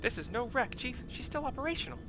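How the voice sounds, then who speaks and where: A man's voice: very high-pitched, very animated, quick pace, loud music behind the voice, voice pushed up. A man voicing an eager, apologetic little male engineer who stammers and defers to his boss in a video game story. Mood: sheepish, eager.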